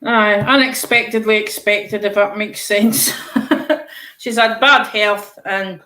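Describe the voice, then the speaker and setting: An older woman talks in a soft, halting way and lets her sentences trail off, with a dry humour running underneath. Her voice carries a roomy echo, as if she is on a video call from a bare room. She has an ordinary, middle-height voice. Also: medium pitch, steady tone. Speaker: participant in a live colouring call. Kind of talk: casual chat.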